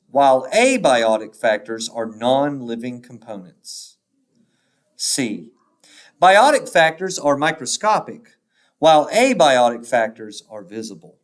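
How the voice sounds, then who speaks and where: Slow, deliberate man reading aloud. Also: medium pitch. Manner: deliberate, instructional, pausing between answer choices. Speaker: teacher. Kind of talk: reading test questions aloud.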